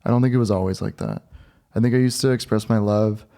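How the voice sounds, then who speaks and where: A man with a fairly low voice talks in loose, casual podcast banter. He jokes in a deadpan way, exaggerating as he goes. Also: quick pace. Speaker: podcast co-host and editor.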